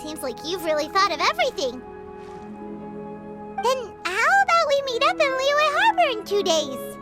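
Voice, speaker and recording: A woman voicing a tiny, excitable fairy companion in a video game, bubbly and eager. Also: very high voice, normal speed, heavily acted. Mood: chirpy. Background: game music.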